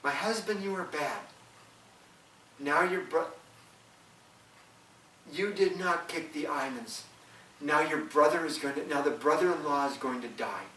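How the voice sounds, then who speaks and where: Brief: a man putting on a young island wife, shrill and insistent, bright edge, echoey room from far off. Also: unhurried, long pauses, slight background noise. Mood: grieving reproach. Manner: high sharp pleading voice.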